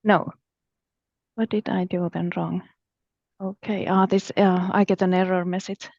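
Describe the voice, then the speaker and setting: A woman's voice: fairly low pitch, level tone, unhurried, creaky, darkish tone, no echo. A woman from Finland, a startup founder talking casually on a video call, flustered and murmuring to herself while struggling to share her screen. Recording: dry, dull video call audio.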